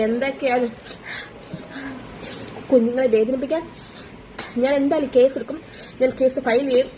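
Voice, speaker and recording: High-voiced woman over clear background noise. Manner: exasperated, moralizing, rhetorical questions. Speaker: commentary vlogger. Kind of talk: casual opinion commentary on gossip. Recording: noisy amateur voice recording.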